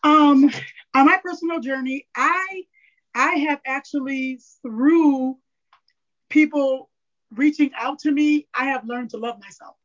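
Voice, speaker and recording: A woman guest in a casual interview, raspy and candid, rambling openly about herself. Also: high-pitched, measured pace. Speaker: podcast guest. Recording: dull lo-fi audio.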